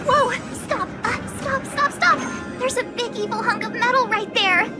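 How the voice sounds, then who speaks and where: A very high, perky teenage adventurer in an anime-style game. She is bubbly, playful and excitable, with a lively swing to her unhurried delivery. Game music runs behind her. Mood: alarmed.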